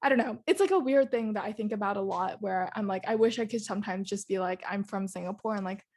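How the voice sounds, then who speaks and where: A woman's voice: mid-range voice, quick pace, a little mellow. A young woman co-hosting a podcast, talking in a hesitant, casual way with many fillers. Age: young adult. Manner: hesitant, lots of "like" and "I don't know". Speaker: podcast co-host. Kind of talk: casual chat.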